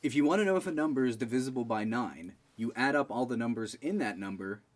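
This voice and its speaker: Guy in his 20s just chatting on a podcast, bantery, cusses a bit, middle pitch. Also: expressive, fast. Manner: profane, bantering. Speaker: podcast co-host. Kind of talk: casual chat.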